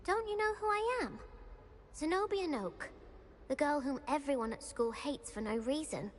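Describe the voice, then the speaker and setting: A woman's voice: high voice, animated, moderate pace. A woman playing a self-pitying, indignant schoolgirl in a video game, with a theatrical, aggrieved delivery. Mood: indignant, wounded. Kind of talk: video game character dialogue. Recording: long hall reverb, faint noise.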